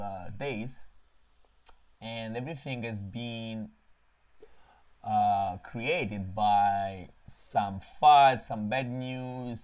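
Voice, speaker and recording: A man from Italy with an Italian accent, hosting a casual live stream about crypto trading and pausing often to fill gaps. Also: medium pitch. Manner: halting, frequent filler pauses. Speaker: crypto trading channel host.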